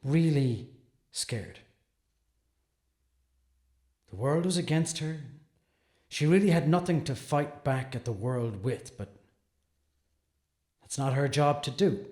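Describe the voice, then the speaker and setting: An actor playing an earnest, troubled defense attorney in a dramatic monologue, speaking deliberately with brooding pauses. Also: male voice, mid-range voice, expressive, a little mellow, natural voice. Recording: echoey, roomy sound.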